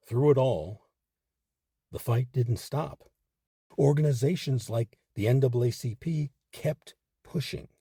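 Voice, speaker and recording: Male narrator, low mellow voice, emphatic and measured, swinging widely in pitch for scripted documentary storytelling. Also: vocal fry, slightly rough, no echo, studio quality. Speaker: host and narrator of a history series.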